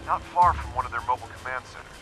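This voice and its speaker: A man's voice: medium pitch, very fast, natural voice. An actor playing an earnest, protective American lawman in a video game, cautious and urgent as he gives instructions. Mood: businesslike. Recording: game music behind the voice.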